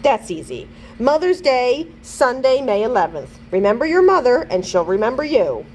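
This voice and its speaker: Woman voicing a confident, lively young schoolgirl. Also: on the high side, normal speed, a little hiss or hum, voice pushed up. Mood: confident, playful. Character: bright, eager fifth-grade girl with photographic memory.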